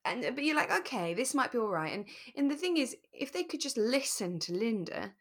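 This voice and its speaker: A woman's voice: fairly high pitch, big pitch swings, brisk, fairly bright tone. A woman co-hosting a podcast, chatting casually and reflectively as she reviews a radio drama. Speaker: podcast co-host.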